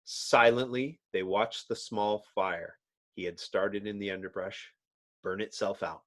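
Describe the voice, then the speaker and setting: Slow, theatrical comic-book narrator. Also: low-pitched, steady tone, fairly bright tone. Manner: theatrical, storybook-like. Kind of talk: dramatic scripted narration.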